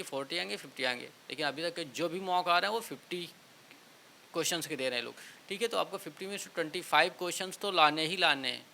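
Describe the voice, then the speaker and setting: A man talks as an exam coach to his students. His voice sits fairly high and is bright and forceful. He advises informally, often checking in with short tags, over an audible background hiss. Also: creaky. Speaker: exam coaching teacher and channel host.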